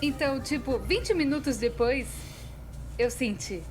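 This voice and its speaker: A woman with a Brazilian accent voicing an excitable, self-mocking young cartoon narrator in an expressive, exaggerated style. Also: high voice, measured pace. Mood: animated, confessional. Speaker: voice actress in a fan dub. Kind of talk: scripted comedic narration of an animated storytime video. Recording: music behind the voice.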